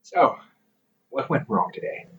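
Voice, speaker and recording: A man's voice: a little slow, far from the mic, dull audio, slight background noise, natural voice. A man voicing a caring, goofy older brother in an audio roleplay, playful and chatty, laughing often.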